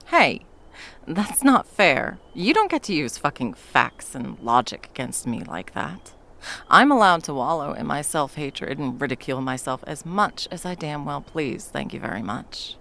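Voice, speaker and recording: A woman's voice: sits low, sing-song, unhurried, gravelly, pushed and bright, exaggerated voice. A woman playing a battle-hardened monster hunter, violent yet a tender protective lover, in an intimate ASMR roleplay. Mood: playful, wry. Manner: intimate, sweary, teasing and soothing endearments. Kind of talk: ASMR roleplay, intimate scripted monologue addressed to the listener.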